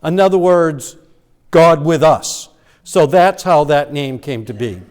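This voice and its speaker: A man with a notably high voice gives a sermon in a church. He explains scripture in a loose, conversational way, stumbling and restarting now and then. His words ring out through a long hall reverb. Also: slow pace, darkish tone. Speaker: pastor. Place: church sanctuary.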